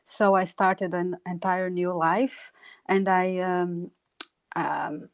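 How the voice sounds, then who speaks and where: Slow, earnest woman. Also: on the low side, level tone, dry recording, clean studio sound. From Brazil. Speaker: panelist, artist. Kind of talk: video call panel discussion. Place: home room with bookshelves. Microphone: wired earphone mic on video call.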